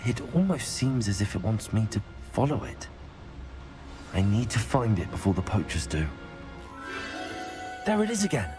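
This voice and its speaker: A man's voice: fairly low pitch, very animated, moderate pace, pauses often, music in the background. A man playing a brave, polite teenage wizard hero in a video game, with an earnest manner. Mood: wary. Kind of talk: video game character dialogue.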